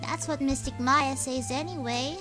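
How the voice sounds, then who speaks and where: A woman's voice: high-pitched, steady tone, moderate pace, over music, natural voice. A woman in a game fan dub voicing an eager, sweetly polite young girl helper. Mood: polite, chatty.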